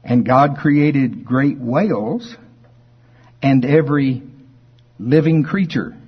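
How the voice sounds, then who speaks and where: A man preaching in an informal Bible study, conversational and folksy, slowing with deliberate emphasis as scripture is read aloud. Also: medium pitch, slightly rough, dull audio. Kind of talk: informal Bible teaching to a congregation, reading verses aloud. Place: speaking live before a congregation.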